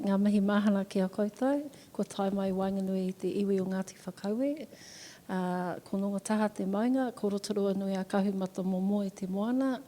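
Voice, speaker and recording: A woman's voice: medium pitch, dark tone. Proud New woman in a reverberant hall. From New Zealand. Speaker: panelist, former primary teacher. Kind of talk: panel talk, opening with a formal greeting in te reo Māori. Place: conference hall, seated on a sofa.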